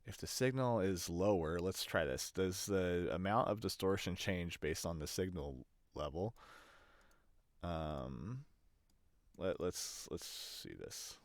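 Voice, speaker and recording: Guy with a deep voice doing a casual audio tutorial, talks slow, kinda hesitant, figuring it out as he goes. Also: dry recording, clean studio sound. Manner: hesitant, thinking aloud. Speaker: audio production demonstrator. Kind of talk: casual unscripted screen-recorded tutorial. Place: indoors at a computer.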